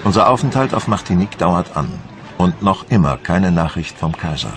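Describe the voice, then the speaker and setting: An actor playing a wary, proud French admiral of the Napoleonic wars, narrating in a measured, formal first person for a documentary audio drama. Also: male voice, deep voice, expressive, noisy background. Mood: wistful, watchful.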